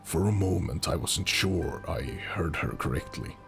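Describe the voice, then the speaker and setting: A man narrates a fantasy story slowly and deliberately. His voice is extremely deep with a gravelly rasp. Music plays clearly beneath him. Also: expressive, a bit bright. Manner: slow, deliberate, brooding. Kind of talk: dramatic fantasy audiobook narration.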